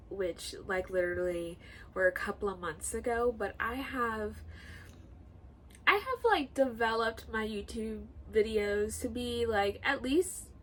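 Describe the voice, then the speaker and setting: A woman's voice: fairly high pitch, fairly bright tone, a little hiss or hum, rough recording. A young woman in her twenties, nasal and congested, chatting casually in a sit-down vlog and rambling with lots of fillers. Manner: chatty, rambling, full of likes and ums. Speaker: YouTuber. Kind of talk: sit-down get to know me vlog.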